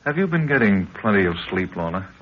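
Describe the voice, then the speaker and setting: An actor playing an eager, excitable young adventurer in a 1930s radio drama, urgent and questioning in manner. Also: male voice, lowish voice, fairly quick. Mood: curious, attentive. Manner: urgent, exclamatory, respectful questioning. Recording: muffled, hissy 1930s radio recording.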